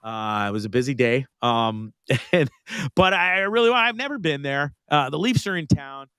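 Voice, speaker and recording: A man's voice: mid-range voice, lively intonation, normal speed, bright, forceful tone, clear, resonant tone, no room sound. Congested Canadian man chatting, close dry sound. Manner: slangy, joking, blunt. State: sick with sore throat, congested. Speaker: podcast host. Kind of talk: casual chat, swearing freely.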